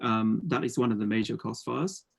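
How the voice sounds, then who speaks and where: A man's voice: mid-range voice, fairly quick, creaky. A man in his forties from Vietnam with a Vietnamese accent, presenting his startup on a video call, hesitant with many fillers and restarts. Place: home room with slanted ceiling.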